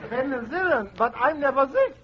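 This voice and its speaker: An actor playing a cheeky, blunt, literal-minded foreigner with a foreign accent in a 1940s radio comedy. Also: male voice, very high voice, lively intonation, normal speed, some chatter behind. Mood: cheeky, smug. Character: cheeky, literal-minded foreign male contestant, smug newlywed. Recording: noisy muffled old radio recording, loud studio audience.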